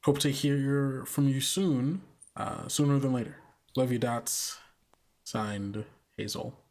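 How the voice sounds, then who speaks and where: Awkward, luckless drifter played by a man, mid-range voice, halting delivery, improvised roleplay. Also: medium pitch, a little mellow. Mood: awkwardly upbeat. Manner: halting, hesitant. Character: awkward, down-on-their-luck drifter writing to a loved one.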